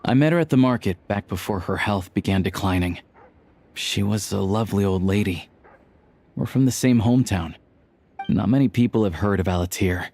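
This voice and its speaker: A man playing a patient, caring character in a video game, speaking gently and earnestly. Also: lowish voice, normal speed, a little hiss or hum, close to an everyday voice. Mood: reflective, wistful. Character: patient, caring man who looks after an old woman. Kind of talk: video game voice acting, dialogue and exposition. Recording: background music under the dialogue.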